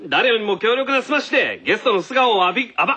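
A man's voice: sits high, sing-song, racing, pushed and bright, breathy edge. A man in his thirties hosting a radio show with playful, teasing banter and theatrical announcements. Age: 30s.

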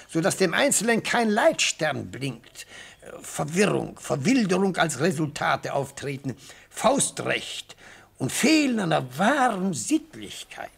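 Old defence lawyer in a radio drama, gravelly, swoops up and down, very wordy and grand. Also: male voice, mid-range voice, full character voice. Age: older. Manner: rhetorical, declamatory, savouring pauses. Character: elderly retired defence lawyer, theatrical, wordy, indulgent. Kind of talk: radio play courtroom oratory. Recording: vintage radio play recording.

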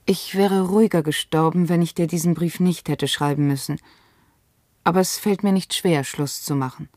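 A woman's voice: on the low side, fairly even intonation, normal speed, darkish tone, no room sound, studio quality, natural voice. An actress playing a grave, despairing woman reciting a letter in a 1970s German sci-fi radio drama.

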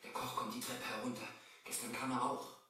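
An actor playing a timid, excitable, loyal male servant in a vintage audio drama, deferential and theatrical. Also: medium pitch, a little fast, close to an everyday voice. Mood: frightened hush. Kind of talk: 1970 German audio drama acting. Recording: echoey vintage LP, distant, faint music.

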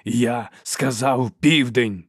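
A man voicing a belligerent, quick-tempered warrior in an audiobook, barking and snarling foul-mouthed lines. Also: mid-range voice, slightly rough, no room sound, studio quality. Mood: barking anger.

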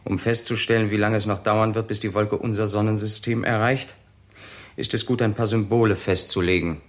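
A man plays a sober young physicist in a sixties radio play. His low voice sets out each step precisely and deliberately, like a methodical lecture. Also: steady tone, lightly acted. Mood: sober, analytical. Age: 20s. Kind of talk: 1960s German radio drama acting.

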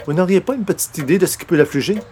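A man's voice: sits high, racing, mellow, slightly rough. Man acting a courteous, put-upon radio-comedy columnist. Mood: polite, resigned. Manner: courteous, formal, mock-dramatic. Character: polite, put-upon male newspaper columnist. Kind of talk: comic radio play in 1930s-40s style.

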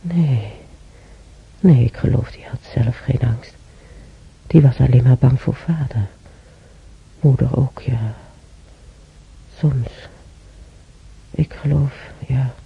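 An actor playing an elderly, weary man in a radio play, musing to himself haltingly with sighs and mutters in a gravelly voice. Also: medium pitch, exaggerated voice. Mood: musing, resigned. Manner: halting, muttering, drifting into whispers. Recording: dull recording with faint noise.

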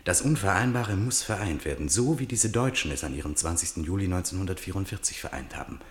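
Really deep guy narrating a radio drama, solemn and declamatory, roomy sound. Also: expressive, fast. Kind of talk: scripted literary radio-play narration.

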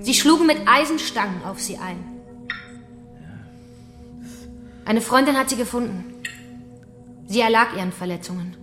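An actress playing a vengeful kidnapper in a radio crime drama, composed and taunting, speaking deliberately. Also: female voice, a little high, animated, a bit bright. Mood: accusing. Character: vengeful female kidnapper, controlled, taunting, bitterly righteous. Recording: echoey room with music behind.

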